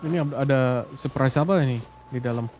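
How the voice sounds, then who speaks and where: A man's voice: mid-range voice, fast, darkish tone, slight background noise. A man with an Indonesian accent giving casual, playful, exclamatory commentary while live-streaming a game. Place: indoor room, streaming setup. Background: game music.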